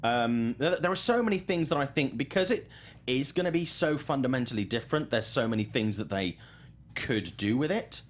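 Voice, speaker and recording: A man's voice: mid-range voice, animated, a little fast. A man hosting a talk show, giving conversational film commentary and thinking aloud as he goes.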